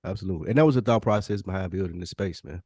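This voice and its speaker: American man, podcast co-host. Low voice, very dry recording, colloquial and easygoing chat. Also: very fast, darkish tone, breathy edge, dry recording. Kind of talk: casual chat.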